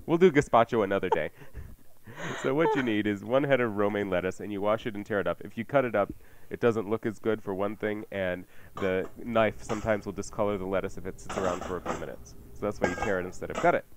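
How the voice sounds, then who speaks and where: A man's voice: fairly low pitch, fast talker. A man hosting a radio cooking show, chatting informally as he walks through recipes. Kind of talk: conversational recipe presentation. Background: music.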